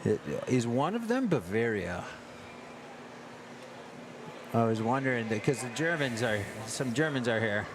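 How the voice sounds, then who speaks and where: An American man hosting a livestream from a sports event in a casual, halting way, pausing often mid-sentence. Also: mid-range voice, sing-song. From United States. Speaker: livestream host at an ice hockey tournament. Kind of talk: casual live chat. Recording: clear urban ambient noise, live on location.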